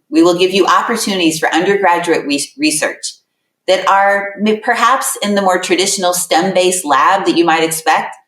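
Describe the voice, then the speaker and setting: A woman's voice: on the low side, a bit bright. A middle-aged woman hosting an admissions webinar, giving an emphatic, welcoming address with repeated words for effect. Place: room with a laptop. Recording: roomy echo, mic at a distance.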